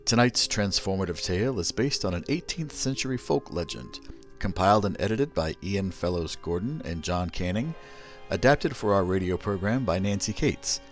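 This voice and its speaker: Deep, animated theatrical radio announcer man. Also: normal speed, soft background music. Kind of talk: old-fashioned radio announcing.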